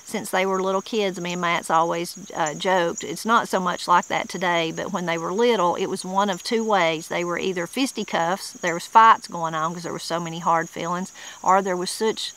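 A woman's voice: fairly low pitch, steady tone, moderate pace, fairly bright tone, low-quality recording. A middle-aged woman with a Southern Appalachian accent, casually explaining old mountain words outdoors in a wooded yard. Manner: homespun and plain-spoken, with frequent restarts. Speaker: channel host. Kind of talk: casual explanatory talk reading dictionary entries.

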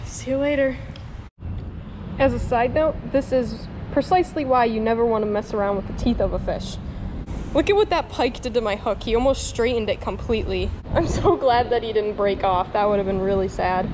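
A woman in her twenties giving excited live commentary while fishing at a creek, gushing with exclamations, recorded on an action camera outdoors. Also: fairly high pitch, moderate pace. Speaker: fishing vlogger. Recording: outdoor camera mic with water noise. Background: flowing water.

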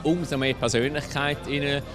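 A man's voice: mid-range voice, steady tone, normal speed. Hesitant Swiss man with music behind. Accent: Swiss German. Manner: hesitant, many fillers. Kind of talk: casual interview. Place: crowded indoor venue. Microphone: handheld reporter mic.

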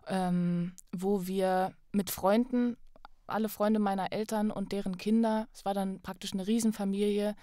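A young woman talks in a casual, chatty way, telling things as a podcast guest. Her pitch sits in the middle range. The sound is dry, with hardly any room around it. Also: steady tone, a little slow, warm tone, no echo. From Thuringia, Germany. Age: young adult. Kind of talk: casual conversational interview.

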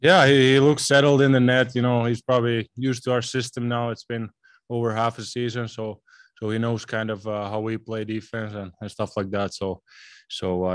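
Low, flat-voiced young athlete. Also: male voice, clear, resonant tone, no echo. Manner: halting, many repeated words and fillers. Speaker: hockey player at postgame press conference. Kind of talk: postgame interview answers. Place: arena press room. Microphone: table mic in front.

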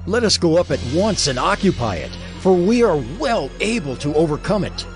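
Bold Israelite scout, emphatic audio Bible delivery, high voice, swooping intonation. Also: male voice, sing-song, normal speed, faint music behind. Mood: fervent urging. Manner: declamatory, emphatic. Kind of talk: dramatized audio Bible acting.

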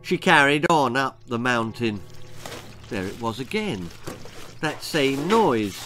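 British male storyteller, sixties, theatrical, lively pitch swings, faint music underneath. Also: takes it slowly. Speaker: author reading his own story. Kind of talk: dramatic children's storytelling, read aloud. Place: small book-lined room indoors.

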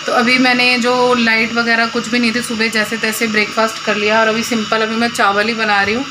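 A woman in her thirties with a cold and a hoarse voice, chatting casually through a home kitchen vlog, filmed on a phone. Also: fairly high pitch, flat delivery, fairly quick. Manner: rambling, chatty, lots of fillers. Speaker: vlogger and housewife. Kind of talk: casual daily vlog chat. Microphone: phone camera, selfie then propped up across the room. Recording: noisy phone recording, pump motor running.

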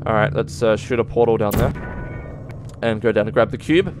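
Excitable young man, slightly hoarse. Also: on the low side, normal speed. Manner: excitable, sudden exclaims and yelps. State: slightly hoarse, dry throat. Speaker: let's play gamer. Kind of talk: casual gameplay commentary. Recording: voice over game music.

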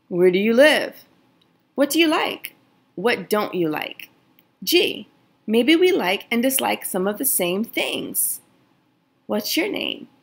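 A woman teacher reading a picture book aloud to children, gently addressing her young listeners and asking them questions. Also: gravelly. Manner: expressive, lively pitch swings on questions.

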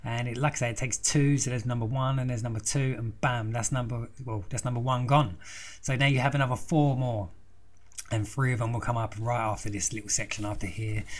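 Young man, hoarse from a sore throat, swearing his way through a casual game guide at an ordinary pitch. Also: steady tone, fairly quick. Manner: swears constantly, rambling and chatty. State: croaky from a throat infection. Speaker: gaming video host. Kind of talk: casual profane game walkthrough commentary.

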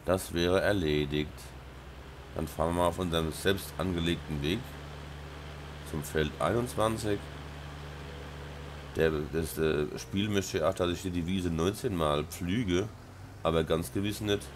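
A very deep-voiced man with a Rhine-Hessian German accent talks casually over his game, his voice hoarse and stuffy from a cold. He mumbles and fills pauses with plenty of ums. A faint hiss sits under the desk-mic recording. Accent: Rhine-Hessian German dialect. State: hoarse with a sore throat and blocked nose. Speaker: let's play host. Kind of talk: casual gameplay commentary.

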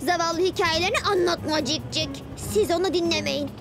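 Sneering, high-voiced boy ninja villain. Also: a little fast, gravelly. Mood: mocking, defiant. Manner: mocking, domineering. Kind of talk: animated children's cartoon dubbing. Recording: loud music behind the voice.

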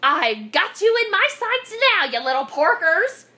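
Screeching, cranky, high-pitched witch. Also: sing-song, measured pace, bright, forceful tone, heavily acted. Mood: gloating. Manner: screeching, cackling, hurling insults. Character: cranky, bossy witch hunting pigs, shrieking and threatening.

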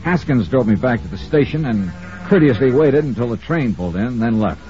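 Guy acting hard-boiled american radio detective, 50s style, wry and clipped, super animated pitch, muffled old recording. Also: fairly quick, close to an everyday voice. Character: brisk, wry male freelance insurance investigator. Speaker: star of a radio detective serial. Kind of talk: 1950s radio acting, first-person narration. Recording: muffled 1950s radio recording, faint music.

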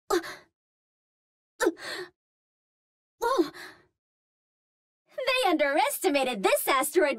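A cute young female Martian explorer for a video game. Her voice is extremely high and girlish, with lively melodic swings. She speaks at an unhurried pace, choosing formal, precise words with earnest politeness. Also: no echo, clean studio sound, full character voice. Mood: apologetic, unsure, then confident, playful. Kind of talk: video game hero callouts.

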